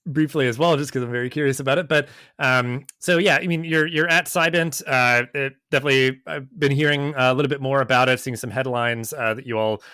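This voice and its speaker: A man's voice: medium pitch, fairly even intonation, brisk, sharp tone, clear, resonant tone, dry recording, clean studio sound. A man hosting a podcast in a casual interview chat, rambling with lots of hesitant fillers. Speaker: podcast host.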